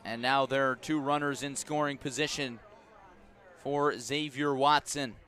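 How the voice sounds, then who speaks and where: An American man doing live baseball play-by-play, measured and descriptive between pitches and emphatic on the action, speaking from a ballpark press box. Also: steady tone, fairly bright tone. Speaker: baseball play-by-play radio announcer. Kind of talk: live sports commentary.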